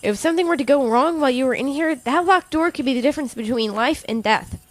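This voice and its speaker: A woman's voice: high-pitched, lively intonation, fast. A woman playing a caring but stern college professor in an audio drama, proper and motherly. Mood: gently scolding.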